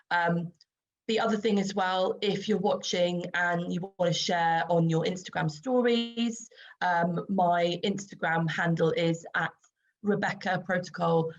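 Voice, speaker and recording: A low-pitched, croaky woman in her forties talks casually to viewers over a video call, filling pauses with ums. The sound is dull and lo-fi, like laptop call audio. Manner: chatty, many ums, light laughs. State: croaky voice after throat infection. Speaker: webinar host and skincare expert. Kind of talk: casual online presentation.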